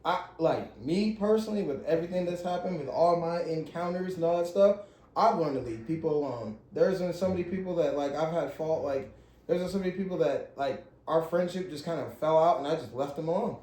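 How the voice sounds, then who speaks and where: A man's voice: a little high, a little fast, darkish tone, reverberant, low-quality recording. A man in his thirties chatting casually as a podcast co-host, in an earnest, slangy, repetitive way. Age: 30s. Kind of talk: casual chat.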